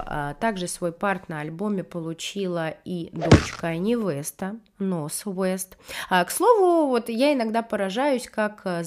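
A woman with a cold chats through music news, her voice nasal and stuffy. Her pitch leaps and dips all over, lively and animated. She is slangy and quick to swear. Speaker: music news podcast host. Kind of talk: casual music news chat.

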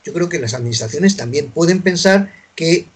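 A man representing an artists' association argues his case earnestly, pausing often on fillers. His voice is husky and sits a little high for a man. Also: animated. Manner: hesitant, many fillers, earnest. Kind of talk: panel discussion.

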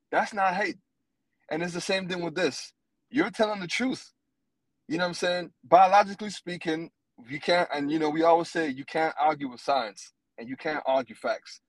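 A man in his thirties co-hosting a podcast, talking in a blunt, animated and profane way. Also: a little high, moderate pace, no echo, poor audio. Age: 30s. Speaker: podcast co-host. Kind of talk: casual podcast chat.

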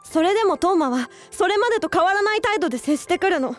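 A woman's voice: extremely high pitch, lively intonation, fast talker, faint music behind. An actress in a radio drama playing an earnest young woman who hides her love for a childhood friend. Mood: wistful. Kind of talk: Japanese radio drama acting.

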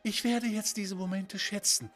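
A man voicing a curious, excited young girl astronaut in a children's audio story, earnest and wide-eyed. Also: high voice, a little slow, faint music behind, pitched above the performer's own voice. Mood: resolved.

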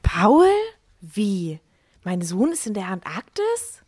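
A woman's voice: medium pitch, very animated, slow, a little mellow, a little hiss or hum. A woman playing a surprised, curious mother in an amateur school radio play. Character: surprised mother receiving a letter from Antarctica.

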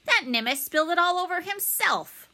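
Woman playing pompous schoolboy bully, animated and bright. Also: extremely high pitch, moderate pace, pitched above the performer's own voice, full character voice. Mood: indignant. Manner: emphatic, pompous threats. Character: boastful, menacing schoolboy bully, self-righteous rule follower.

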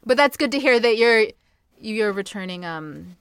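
A woman's voice: a little high, expressive, moderate pace, smooth. A woman hosting a casual interview podcast, speaking as a playful, effusive fan. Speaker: podcast host interviewing an author.

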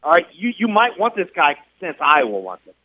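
A man with a high, animated voice argues his point on sports radio, repeating himself and hammering rhetorical questions. His voice comes through dry and slightly dull, like a studio feed. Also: brisk, dry recording. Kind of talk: heated sports radio talk.

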